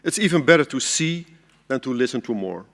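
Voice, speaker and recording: Measured man speaking in a reverberant hall. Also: mid-range voice, animated. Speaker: speaker for the national organizing committee. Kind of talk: formal closing speech. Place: large conference hall. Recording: long hall reverb with music. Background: soft music.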